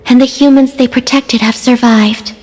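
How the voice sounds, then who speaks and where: Woman acting mystical young woman, high voice, gentle, wistful, formal phrasing, game dialogue, long echoing reverb. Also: fairly even intonation, normal speed, a little hiss or hum, natural voice. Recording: reverberant hall sound, music behind.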